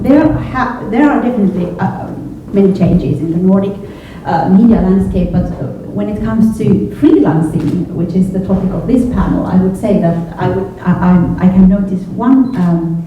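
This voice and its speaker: Middle-aged woman, Finnish accent, native Finnish speaker, hesitant panel answers; thin phone-like sound with faint noise. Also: mid-range voice. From Finland. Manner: hesitant, halting, often repeating words. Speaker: panelist, journalism educator. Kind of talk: panel discussion, thoughtful spontaneous answers. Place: echoey indoor event room with a panel. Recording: echoey room from far off, phone-like, faint noise.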